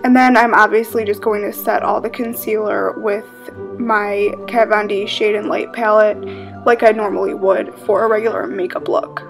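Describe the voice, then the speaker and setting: A woman's voice: medium pitch, normal speed, gravelly, over music. A young woman in her twenties casually talking through a makeup tutorial with frequent fillers. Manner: casual, chatty, relaxed with frequent "um" and "like". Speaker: makeup vlogger. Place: living room with red couch.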